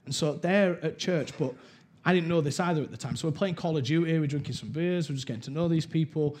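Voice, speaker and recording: A man's voice: fairly high pitch, fast talker, a little mellow. Man from Wales, candid church testimony speaker, acts out the voices in his story. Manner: candid storyteller, acts out quotes, laughs at himself. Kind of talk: personal testimony talk.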